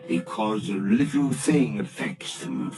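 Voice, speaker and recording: Slow hushed ghost voice, very drawn out, old radio drama with music behind. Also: male voice, on the low side, animated. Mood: solemn. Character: solemn ghost of a dead old businessman. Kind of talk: vintage radio drama acting.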